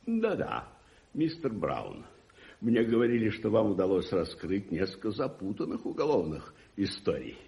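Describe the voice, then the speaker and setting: An actor playing a wealthy, self-assured older gentleman uncle in a radio play, with a theatrical, condescending manner. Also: fairly low pitch, wildly swooping pitch, moderate pace, vocal fry, exaggerated voice. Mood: curious, expansive. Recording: dull muffled 1981 radio recording.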